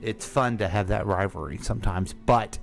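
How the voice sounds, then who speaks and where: A man's voice: lowish voice, moderate pace, vocal fry, soft background music. A man hosting a radio chat in a folksy, talkative way, speaking to listeners as folks. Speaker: radio host.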